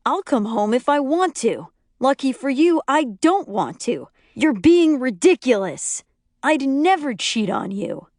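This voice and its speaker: A woman's voice: high voice, big pitch swings, a little slow, darkish tone, dry recording, clean studio sound, pitched above the performer's own voice, exaggerated voice. A woman voicing a defensive, exasperated young husband arguing back.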